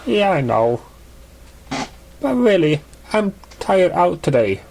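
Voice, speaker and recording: A man's voice: high voice, big pitch swings, slow, creaky, a little mellow, pitched above the performer's own voice, heavily acted. A man voicing a lovesick, anxious, self-doubting male pony in a storybook reading. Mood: feigned casualness.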